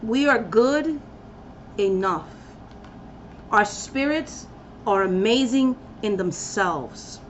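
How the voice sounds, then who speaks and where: A woman's voice: a little high, expressive, takes it slowly, pauses often, muffled recording, slight background noise. A woman giving a passionate, preachy talk to camera, emphatic and blunt as she rallies her listeners. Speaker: spiritual practitioner giving a talk. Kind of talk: impassioned personal rant to camera.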